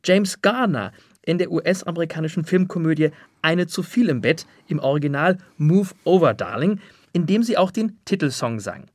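A man's voice: a little high, expressive, moderate pace, no room sound. A man working as an interviewer, offering comments and prepared film trivia in a conversational interview.

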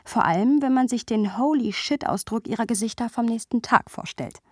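A woman's voice: fairly high pitch, moderate pace, a little mellow, husky, no room sound. An actress playing a wry, playful young woman who narrates memories of a friend in an intimate radio play style.

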